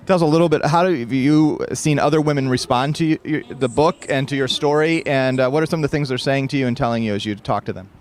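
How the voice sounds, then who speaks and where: A man's voice: medium pitch, fast, hardly any pauses. A man interviewing a guest at an outdoor event, asking upbeat questions with some fillers. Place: outdoor charity race booth area.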